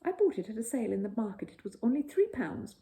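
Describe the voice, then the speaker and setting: A woman's voice: a little high, sing-song, quick pace, warm tone, voice pushed up. A woman voicing a small polite bear, earnest, proud and easily offended, in a children's storybook. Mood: important. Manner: earnest, proud, slightly injured.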